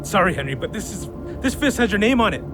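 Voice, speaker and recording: A man's voice: sits high, sing-song, fast talker. A man playing an exasperated, hot-tempered fighter in a heated audio drama, confrontational and ready to throw punches. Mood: angry, threatening. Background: music and vehicle sounds.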